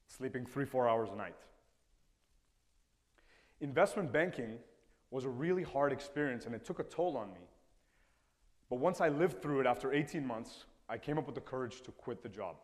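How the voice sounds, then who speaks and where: A man in his twenties from Ecuador, a native Spanish speaker, telling personal stories in an earnest, reflective talk on stage. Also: medium pitch. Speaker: TEDx speaker. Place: stage in a lecture hall. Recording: reverberant hall sound.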